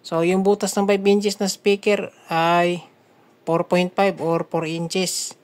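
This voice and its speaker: A woman's voice: fairly low pitch, level tone, slow pace, a little mellow. A woman with a Filipino accent gives a casual how-to voice-over as a builder, talking conversationally straight to viewers. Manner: conversational, often addresses viewers as guys. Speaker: DIY speaker-box builder and vlog host.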